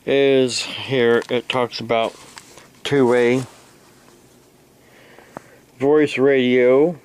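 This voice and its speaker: Slow, halting man talking to camera. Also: mid-range voice, fairly even intonation, darkish tone, clean tone. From United States. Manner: halting, with long pauses between short phrases. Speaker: amateur radio operator and preacher making a video. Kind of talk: unscripted explanatory vlog.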